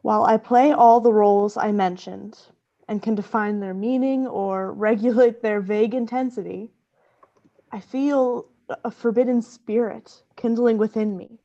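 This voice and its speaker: Intense, higher-voiced occult scientist. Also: female voice, fairly even intonation, measured pace, dark tone, highs cut off. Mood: earnest, fervent. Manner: earnest, dramatic, formal diction. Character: intense, obsessive woman scientist dabbling in dark arts. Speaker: voice actor. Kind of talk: radio play acting.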